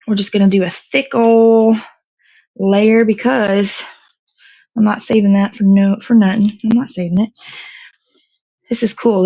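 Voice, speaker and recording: A woman with a mid-pitched voice hosts a casual morning live stream. She chats in a rambling, informal way and thinks aloud while she busies herself with a task. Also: mellow, poor audio. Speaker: host of a morning show. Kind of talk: casual live chat while assembling a dessert.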